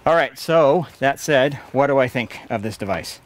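A man's voice: medium pitch, lively intonation, normal speed. A man in his forties giving an explanatory product review at a desk in a small studio office. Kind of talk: explanatory gear review to camera.

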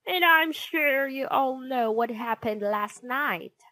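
A woman's voice: high-pitched, takes it slowly, sharp tone, no room sound, voice pushed up, exaggerated voice, rough recording. A woman voicing a stern, snappish female schoolteacher in a storybook, scolding and commanding. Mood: commanding, irritated.

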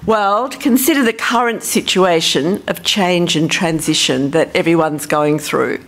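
A woman with an Australian accent giving a conference presentation as a professor, relaxed and self-deprecating, thinking aloud with fillers. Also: lowish voice, measured pace.

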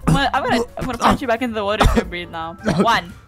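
A cheeky monkey sidekick from a game roleplay, played by a woman. The voice is high and lively, bossing and teasing in repeated commands. Music sits behind it. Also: rapid-fire, close to an everyday voice. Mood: urgent. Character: cheeky, bossy female monkey sidekick to alien.

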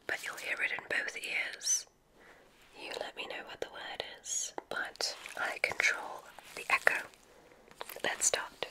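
A woman playing a gentle, reassuring witch examiner in a whispered ASMR medical roleplay, giving careful, soothing instructions in a breathy voice. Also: poor audio.

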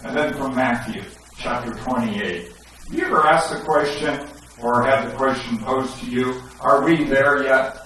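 A man's voice: mid-range voice, unhurried, fairly bright tone, a little hiss or hum. A middle-aged man preaching a sermon and reading scripture aloud in a church sanctuary. He speaks through a headset mic, gestures as he talks and rises to emphatic questions. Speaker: pastor. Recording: echoey sanctuary, recorded from a distance.

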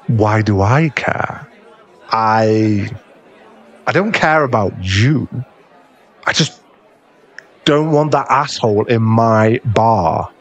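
Male actor as young werewolf barkeep, boyfriend audio drama. Lowish, unhurried, halting voice, teasing with a soft heart, faint bar crowd behind. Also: expressive, full character voice. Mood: indignant, flustered. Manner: slow, halting, stammering delivery. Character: gruff young male werewolf bar owner, teasing but secretly tender.

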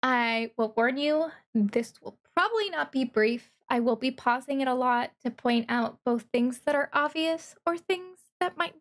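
Slow-paced, higher-voiced woman streamer. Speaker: VTuber streamer. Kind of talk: reaction commentary on a game trailer.

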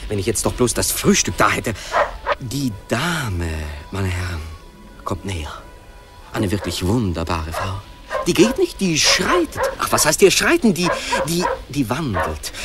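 An actor playing a brash, excitable special reporter in a radio play, with clipped telegram-style commentary and muttered asides. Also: male voice, medium pitch.

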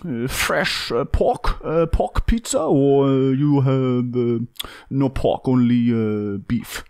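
A man voicing a shifty, suspicious foreign man who speaks halting, demanding broken English in a comedy prank call. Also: mid-range voice, darkish tone, smooth. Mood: halting, insistent. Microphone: close boom-arm podcast microphone.

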